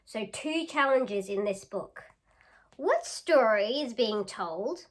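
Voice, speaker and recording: A woman teacher reading a picture book aloud to children, expressive and pausing to put questions to listeners. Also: on the high side.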